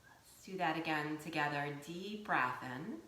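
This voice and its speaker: A woman teaching yoga nidra, giving gentle guided meditation instruction in a soothing, unhurried way with pauses. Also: low-pitched, expressive. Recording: roomy echo, faint background noise.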